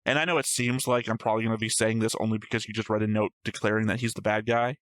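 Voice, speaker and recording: A man's voice: fairly low pitch, steady tone, rapid-fire, fairly bright tone, no echo. A man co-hosting a reaction show, reasoning aloud in a casual, argumentative discussion of story theories. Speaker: co-host of an anime reaction show.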